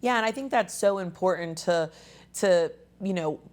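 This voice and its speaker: A woman with a somewhat low, raspy voice hosts a health talk show. She sounds a bit hoarse today and talks in an easygoing, conversational way, leaning on little fillers as she frames her questions. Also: normal speed, a little mellow. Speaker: host.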